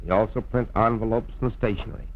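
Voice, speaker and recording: An American man narrating a scripted promotional film in a formal, measured old-time radio announcer's style, on a vintage soundtrack. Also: on the low side, fairly even intonation, muffled recording. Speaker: radio program host and narrator.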